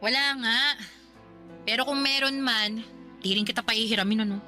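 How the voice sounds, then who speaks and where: An actress playing a stern but caring older sister in a radio drama, blunt and scolding with sharp retorts. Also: female voice, a little high, moderate pace, soft background music. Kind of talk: Filipino radio drama acting.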